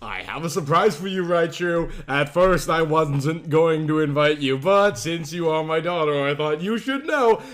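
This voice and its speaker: A man's voice: on the high side, lively intonation, a little slow, hardly any pauses, fairly bright tone. A man voicing a sinister, falsely sweet villain father with a smug, taunting manner in an amateur story reading. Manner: smugly taunting, mock-affectionate.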